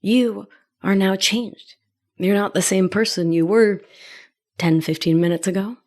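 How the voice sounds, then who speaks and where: A woman's voice: mid-range voice, fairly even intonation, a little slow, a little mellow. A middle-aged woman hosting a coaching podcast, talking in a playful, affectionate way with pet names and nerdy asides. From Argentina. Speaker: podcast host and life coach. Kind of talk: warm coaching monologue.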